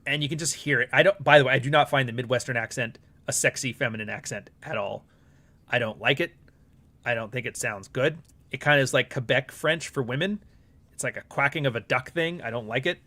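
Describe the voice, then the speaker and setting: A man's voice: medium pitch, a little fast, bright, forceful tone. A middle-aged Canadian man with a Canadian accent hosting a casual live stream, talking bluntly with frequent swearing and rambling asides. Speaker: livestream host.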